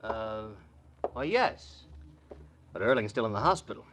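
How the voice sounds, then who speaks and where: An actor playing an idle, jaded society drinker in a 1940s radio drama, affected, languid and dryly witty. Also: male voice, on the low side, sing-song, a little slow, a bit bright, slight background noise. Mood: hesitant, guarded. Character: idle, jaded, martini-drinking society gentleman, lovelorn hanger-on.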